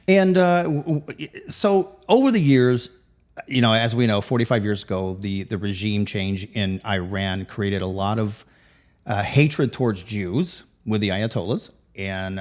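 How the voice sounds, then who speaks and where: Male talk host, lowish register, halting and wordy when interviewing. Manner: halting, with frequent repeated words. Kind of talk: interview, asking long questions.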